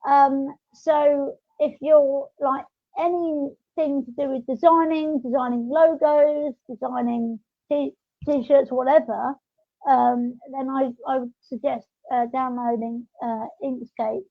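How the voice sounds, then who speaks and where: Female podcast host, very high voice, chatty and rambling, close dry sound on a lo-fi online call. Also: fairly even intonation, slow, no echo. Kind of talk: casual podcast chat. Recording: dull lo-fi online call audio.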